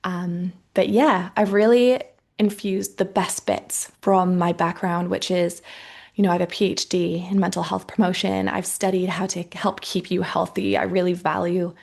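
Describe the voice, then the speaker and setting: A woman in her thirties with a Welsh Canadian accent, speaking to expecting mothers as a coach. Her voice sits fairly low and has a gravelly fry to it. She talks in a soft, encouraging, casual way, full of likes and you knows. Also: level tone, normal speed. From Wales, raised in Canada. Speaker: motherhood coach and course founder. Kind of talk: casual masterclass talk to the viewer.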